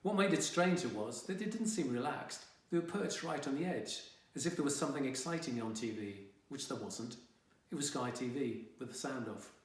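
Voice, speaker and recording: A man's voice: mid-range voice, normal speed, distant mic, natural voice. A man voicing a sullen, indignant fifteen-year-old boy telling his own story in a wry, exasperated way. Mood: wry, uneasy. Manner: wry, dramatic, exasperated teenage grumbling. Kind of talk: first-person young adult audiobook reading. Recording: very echoey, reverberant room.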